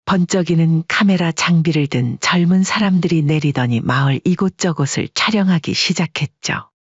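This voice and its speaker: A woman narrating a scripted audio story in a calm, measured way. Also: sits low, breathy edge, dry recording, highs cut off.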